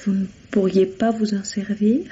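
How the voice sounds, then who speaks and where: An actor playing an evasive, defensive murder suspect in a vintage radio mystery, hesitant and guarded under questioning. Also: male voice, sits high, little pitch movement, moderate pace, mellow, husky. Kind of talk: 1950s French radio mystery acting. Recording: dull lo-fi old radio recording.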